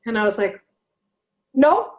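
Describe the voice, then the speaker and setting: A woman from Canada with a raspy, fairly deep voice, telling stories in a casual chat and acting out the lines she quotes. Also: measured pace, reverberant. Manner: animated, acts out quotes, laughs often. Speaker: podcast guest, singer and speaker.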